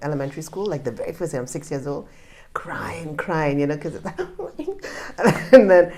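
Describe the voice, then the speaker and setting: A woman chats as a podcast guest. Her voice sits low for a woman, and she tells personal anecdotes in an informal, conversational way. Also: big pitch swings, moderate pace, a crowd in the background. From Trinidad. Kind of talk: casual conversational interview.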